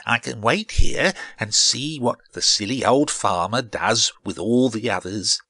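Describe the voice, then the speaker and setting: A man's voice: fairly low pitch, a little slow, pushed and bright, slightly rough, dry recording, heavily acted. A man voicing a cocky, naive little male seed in a children's storybook, boastful and eager. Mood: smug.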